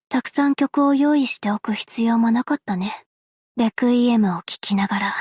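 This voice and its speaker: An actress playing a gentle, self-effacing young woman in a video game, soft and whispery, with a hushed, measured, wistful delivery. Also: a little high, slightly rough, no room sound, clean studio sound. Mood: composed, soft. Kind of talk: game character voice lines.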